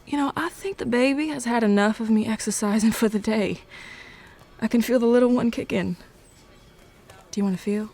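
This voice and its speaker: A fairly high young female voice, loyal and stubbornly hopeful. a resigned pregnant wife in an audio drama. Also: brisk, a little mellow, faint music behind. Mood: resolute, reassuring.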